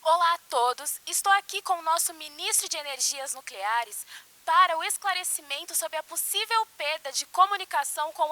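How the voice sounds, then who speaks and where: Actress as a high, bright female news reporter. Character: polite, formal female television field reporter.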